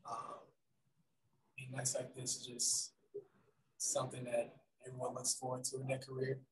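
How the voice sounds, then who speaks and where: A young man answering post-game press questions in a soft, hesitant way with many pauses and ums, over a video call. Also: medium pitch, completely flat delivery, slight background noise. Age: young adult. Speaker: basketball player at press conference. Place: room in front of team backdrop. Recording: roomy video call audio.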